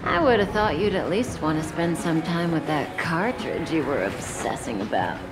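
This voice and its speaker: A woman playing an unhurried, mature big-sister companion in a video game, languid, teasing and gently chiding. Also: deep voice, expressive, over music. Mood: relaxed, teasing. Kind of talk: scripted game dialogue.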